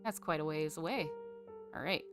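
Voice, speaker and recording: A woman's voice: low voice, normal speed. A woman streamer giving casual, hesitant let's play reactions, murmured over game music. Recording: loud game music behind voice.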